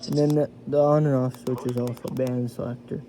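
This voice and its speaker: A man giving a casual hands-on product review, thinking aloud in a halting way as he demonstrates. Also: medium pitch, little pitch movement, warm tone. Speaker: amateur gadget reviewer. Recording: noisy homemade recording with radio static.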